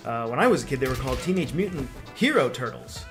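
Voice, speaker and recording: A man's voice: mid-range voice, sing-song, quick pace, a bit bright. A man casually reacting in short, laid-back remarks while playing a video game with a friend. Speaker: gaming commentator, co-op player. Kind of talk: casual game commentary chat. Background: game music.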